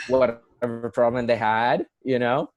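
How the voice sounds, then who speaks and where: A man's voice: medium pitch, fairly even intonation, measured pace, lo-fi sound. A young man from Puerto Rico with a Puerto Rican Spanish accent, a musical theater performer talking earnestly as an interview guest, with many fillers and restarts. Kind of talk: casual conversational interview.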